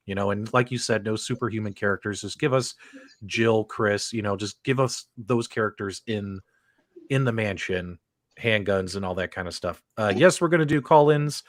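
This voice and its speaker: A man speaks in a close, dry recording at a middling pitch. He comes across as the host of a casual online panel show, talking conversationally to co-hosts and viewers. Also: a bit bright, no room sound. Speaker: host of a gaming talk livestream.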